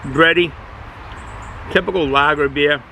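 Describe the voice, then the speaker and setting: A middle-aged man with a Boston accent, casually reviewing a beer outdoors, rambling and halting with many fillers. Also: on the high side, steady tone, bright, forceful tone, a little hiss or hum, low-quality recording. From Boston area, United States. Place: outdoors, sun in his eyes.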